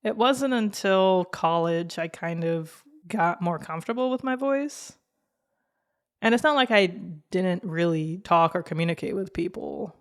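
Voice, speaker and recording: A young woman hosting a solo podcast, talking slowly in a flat, monotone voice, laid-back and candid with fillers. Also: fairly low pitch. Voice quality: monotone, slow-spoken. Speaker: podcast host. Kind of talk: casual solo podcast monologue.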